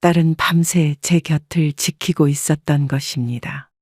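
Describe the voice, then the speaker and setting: A woman calmly narrating a first-person life story in a measured, scripted way. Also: sits low, no echo, studio quality.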